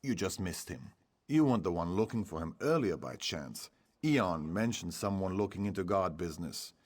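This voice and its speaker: A sly officious fort official in a fantasy game, low husky voice, measured and insinuating. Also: male voice, animated, a little mellow. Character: smooth-talking, secretive male fort official, scheming. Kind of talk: fantasy video game acting.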